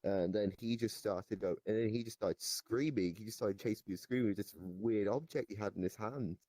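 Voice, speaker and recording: A man playing a deranged goblin storyteller in an improvised comic interview, rambling haltingly and nonchalant about absurd claims. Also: fairly low pitch, dark tone, no echo, natural voice. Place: virtual reality voice chat.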